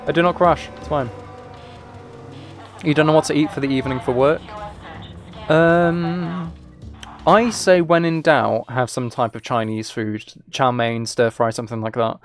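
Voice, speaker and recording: A man's voice: medium pitch, fairly even intonation, a little slow, pauses often, faint music behind. A man chatting casually as he streams a video game, with dry, wry asides. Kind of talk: casual livestream gaming chat and commentary.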